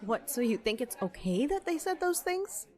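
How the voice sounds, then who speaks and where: A woman's voice: high-pitched, sing-song, moderate pace, a little mellow, some chatter behind. A woman playing an outspoken, hot-tempered cafe worker who stands up for outcasts, in an audio drama. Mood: upset, heated. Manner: confrontational, impassioned.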